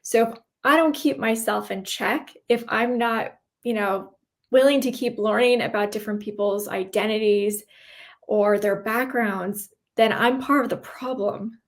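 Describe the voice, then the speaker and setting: Female interview guest, fairly high voice. Earnest, explanatory, casual conversational delivery. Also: a little slow. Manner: earnest, explanatory, checks in with "right?". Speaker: podcast guest, cybersecurity professional.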